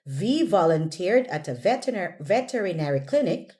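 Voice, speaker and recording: A woman's voice: fairly low pitch, sing-song, moderate pace, mellow. A woman teaching English pronunciation one on one, instructing, correcting and encouraging as she models words.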